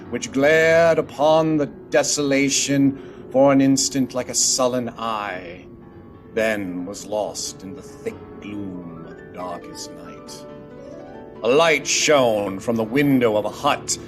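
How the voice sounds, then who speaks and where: A man's voice: mid-range voice, slow, close to an everyday voice. A man narrating a story theatrically, as in a scripted radio play. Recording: music under the voice.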